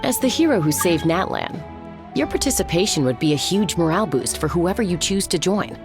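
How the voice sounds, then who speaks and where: A woman's voice: fairly low pitch, very animated, fairly quick. A woman playing a confident, persuasive warrior and recruiter in a video game, speaking with assurance. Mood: earnest. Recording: game music behind the voice.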